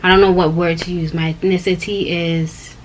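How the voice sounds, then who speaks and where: A woman's voice: lowish voice, little pitch movement, normal speed, a little hiss or hum, low-quality recording. A young woman, talking straight to her viewers in a rambling, chatty personal vlog. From Canada. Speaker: vlogger. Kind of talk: casual personal vlog talk.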